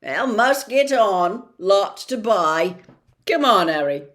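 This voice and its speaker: A woman's voice: medium pitch, animated, slow pace, a bit bright. A woman voicing a huge friendly man who talks in a blunt, hearty, homely way.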